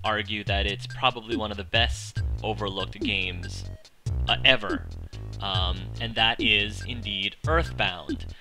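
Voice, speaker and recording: A man with a very bright, forward voice introduces a gaming video over soft music. He sits a little low in pitch and talks casually but emphatically, as an enthusiastic Let's Play host. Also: fairly even intonation, unhurried. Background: video game music.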